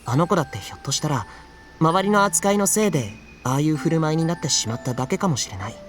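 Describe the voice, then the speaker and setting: A man playing a gentle, principled schoolboy in a Japanese visual novel, speaking softly and sincerely. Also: on the high side, expressive, rapid-fire, soft background music, close to an everyday voice. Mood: thoughtful.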